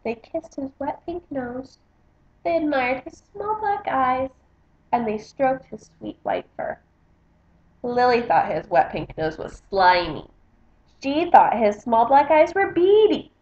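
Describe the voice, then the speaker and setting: A woman's voice: sits high, very animated, slow pace, darkish tone. A woman book vlogger reading a picture book aloud in a casual, chatty style. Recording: slightly dull, roomy home recording.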